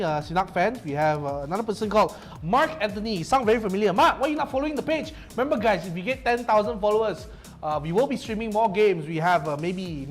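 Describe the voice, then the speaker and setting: A man casting an esports tournament stream, joking and mock-complaining with his co-caster. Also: high voice. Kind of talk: livestream casting banter.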